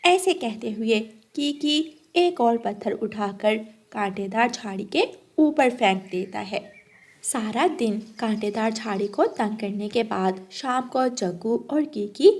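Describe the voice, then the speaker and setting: A woman's voice: fairly high pitch, animated, slow pace, a little mellow. A woman narrating a scripted children's cartoon story.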